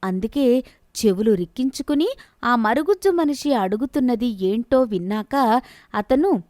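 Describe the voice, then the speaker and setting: A woman narrating a scripted bedtime fantasy story in a steady, expressive storytelling manner. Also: a little high, unhurried, dry recording, studio quality.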